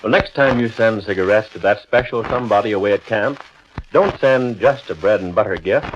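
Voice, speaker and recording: A man's voice: fairly low pitch, brisk. A man announcing a scripted 1940s radio sponsor message with polished, formal salesmanship. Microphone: radio studio microphone. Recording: noisy, muffled 1940s radio recording.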